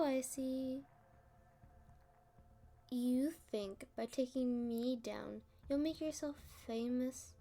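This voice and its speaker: A woman performs a playful female supervillain in an ASMR roleplay. Her voice sits fairly high and she takes her words very slowly, stretching them out. She is smug and condescending, teasing the listener with plenty of sass. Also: warm tone. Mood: mocking, smug. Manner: teasing, condescending, drawn-out delivery.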